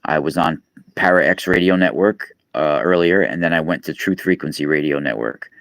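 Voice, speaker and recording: A man's voice: a bass voice, normal speed, gravelly, a bit bright, no room sound. A man, chatting casually on a live stream, rambling with many fillers and restarts. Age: 40s. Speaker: podcast host and radio guest. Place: East Coast, New York.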